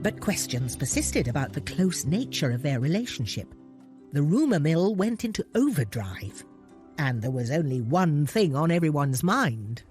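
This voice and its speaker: Lively woman narrating a documentary. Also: sits low, moderate pace. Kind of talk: scripted narration. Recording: music clearly behind the voice.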